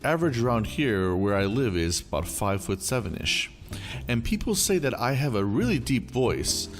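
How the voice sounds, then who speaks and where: A man narrating online stories as the host of a storytelling channel. His reading is expressive, and his pitch rises and falls a lot. Faint music plays beneath him. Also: moderate pace. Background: faint background music.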